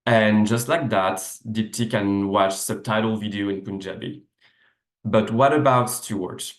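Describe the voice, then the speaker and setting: A man gives a seminar talk from his desk over a video call. His voice sits a little low, and he explains things step by step, with plenty of fillers and restarts. The laptop mic picks up a noticeably echoey room around him. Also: steady tone, measured pace. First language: French. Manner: explanatory, with frequent "uh" fillers and self-corrections. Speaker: researcher giving a seminar talk. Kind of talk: academic lecture over slides.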